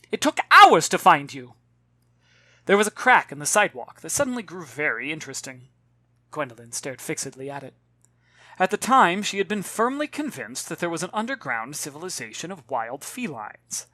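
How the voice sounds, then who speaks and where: An expressive audiobook narrator with a middle-pitched voice. The delivery is dramatic and carefully articulated, turning wry in the asides. The sound is very dry. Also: dry recording. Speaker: author reading own novel.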